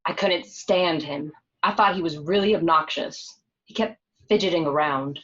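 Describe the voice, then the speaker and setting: A woman's voice: low-pitched, moderate pace, fairly bright tone. A woman playing an annoyed young woman, telling her story in an exasperated, matter-of-fact way. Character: annoyed young woman recalling a bad date. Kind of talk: dramatic table read, narrated recollection. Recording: dull lo-fi home call audio.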